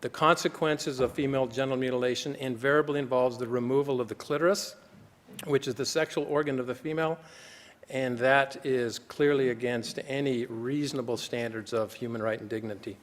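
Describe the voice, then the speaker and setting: A man from the United States giving a formal conference talk as a physician, earnest and measured. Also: mid-range voice, steady tone. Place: conference hall. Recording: long hall reverb.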